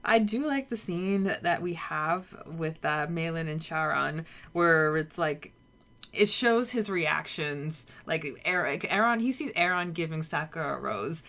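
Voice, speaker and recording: Lower-voiced woman chatting casually. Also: lively intonation, measured pace, fairly bright tone. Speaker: podcast host. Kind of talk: casual chat.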